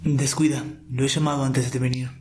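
A man's voice: mid-range voice, flat delivery, a little fast, a little mellow, close to an everyday voice. A man playing a worried, loyal young vampire ally in an amateur audio roleplay. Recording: echoey, distant from the mic.

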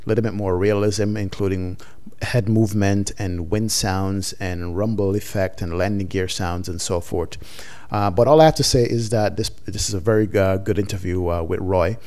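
Podcast host guy, low voice, laid back, lots of uhs. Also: normal speed, hardly any pauses. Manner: relaxed, filler-heavy. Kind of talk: podcast intro.

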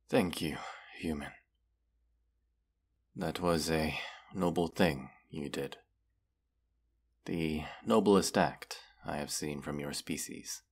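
A man's voice: a bass voice, vocal fry, breathy edge, heavily acted. A man voicing a proud, mighty dragon in a fantasy roleplay, haughty and formal in manner. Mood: grudgingly grateful. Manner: haughty, deliberate, formal phrasing with menacing undertone. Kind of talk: fantasy roleplay audio acting.

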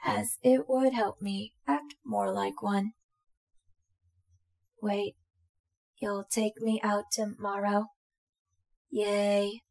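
Woman as a slow, creaky-voiced robot girl companion. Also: medium pitch, a little mellow. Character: affectionate, shy young female robot companion droid. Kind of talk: roleplay audio drama addressed to the listener.

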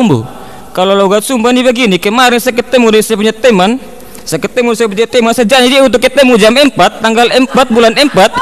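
A young man with an Eastern Indonesian accent doing an animated, talkative comic monologue, mimicking ways of speaking, close on the microphone. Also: sits high. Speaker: comic performer. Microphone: large condenser microphone on a stand, close.

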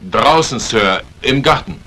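A man's voice: mid-range voice, lively intonation, measured pace, sharp tone. An actor playing a polite, defensive butler protesting his innocence in a 1950s German radio crime drama. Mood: earnest, defensive. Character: deferential male butler, earnest suspect protesting innocence. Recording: old radio play recording, faint hiss.

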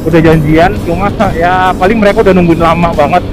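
A man with a strikingly high voice and a colloquial Jakarta Indonesian accent trades playful, slangy banter from a motorcycle. He talks through a helmet intercom, with music clearly audible behind him. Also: fairly even intonation, brisk. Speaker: motovlogger, riding companion. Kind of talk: casual slangy banter while riding. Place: outdoors on a moving motorcycle. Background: music, vehicle sound.

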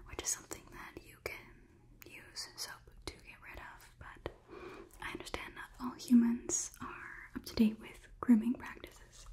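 A woman in her twenties softly whispering in a breathy, calm, clinical ASMR roleplay as a polite, condescending robot overlord examining a human. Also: fairly high pitch. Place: small indoor studio room. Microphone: close ASMR microphone.

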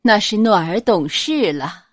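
A woman voicing a gentle, dignified noblewoman and mother, reasoning softly in an audiobook. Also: medium pitch, animated, a little slow, dry recording, clean studio sound. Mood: gently chiding.

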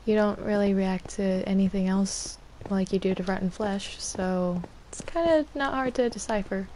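A woman's voice: medium pitch, moderate pace, mellow, slight background noise. A woman talking casually in character while playing an online roleplay game.

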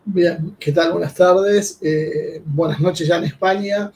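A man co-hosts a live interview and lays out its topics with many filler pauses. His voice is high and gravelly with a darker tone, and he sounds somewhat far from the mic, with faint background sound. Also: steady tone, a little mellow, slight background noise. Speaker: co-host interviewer. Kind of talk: interview introduction. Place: home room.

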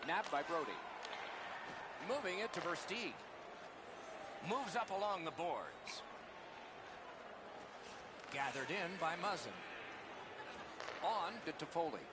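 A high-voiced male TV hockey announcer calls the game in clipped, punchy phrases. He sounds brisk and keen, like a video game broadcast, and loud arena noise runs all around him. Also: big pitch swings. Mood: keen, urgent. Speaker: play-by-play sports commentator. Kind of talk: hockey play-by-play commentary.

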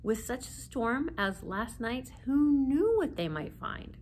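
A woman's voice: fairly high pitch, sing-song, takes it slowly, a little mellow, a little hiss or hum. A woman in her forties reading a children's story aloud expressively, seated indoors a short distance from the camera.

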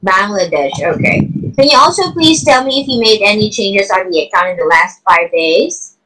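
A woman's voice: medium pitch, expressive, normal speed, pushed and bright, a little hiss or hum, rough recording. A woman speaking as a verification interviewer on a video call, in a polite, scripted customer-service style. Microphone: remote voice on a video call.

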